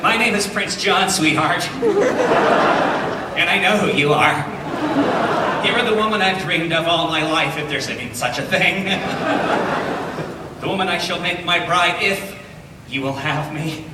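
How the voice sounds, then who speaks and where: Casting: male actor as pompous fairy-tale prince, animated and comic, in an echoey hall full of crowd noise. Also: sits high, unhurried, pauses often. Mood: smarmy, courtly. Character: pompous storybook prince who slips into dopey casualness. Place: large convention hall with audience, distant camera mic. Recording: echoey noisy convention hall, far off.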